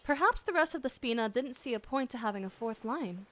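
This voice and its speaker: A woman's voice: high voice, lively intonation, brisk, a bit bright. A woman streamer casually reacting to a game and playfully talking back to it.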